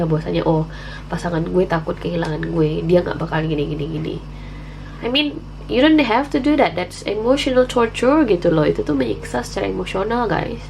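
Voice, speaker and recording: Indonesian woman, tarot host, lowish gravelly voice, flu, chatty and candid, English slang mixed in, noisy background. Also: darkish tone. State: has the flu, candy in mouth. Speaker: tarot reader, channel host. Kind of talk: casual tarot reading to viewers.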